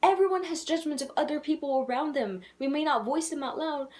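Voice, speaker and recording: A young woman with a childish-sounding voice, talking candidly and rambling in a casual video letter to her twin, laughing softly at herself. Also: sits high, a little fast. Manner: candid, rambling, self-deprecating with frequent soft laughs. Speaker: vlogger.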